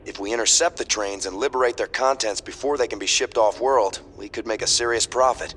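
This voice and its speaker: An actor playing a businesslike crew informant giving a crisp mission briefing in a video game. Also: male voice, mid-range voice, fairly quick, a bit bright, lightly acted. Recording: faint mechanical noise.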